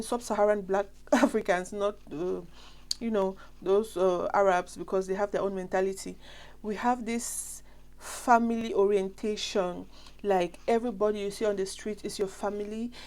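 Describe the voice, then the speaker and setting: Young cameroonian lady w a flu, medium pitch, rambling casual chat to her followers. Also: a little mellow. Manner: chatty, rambling, direct address to viewers. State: has a flu. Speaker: vlogger.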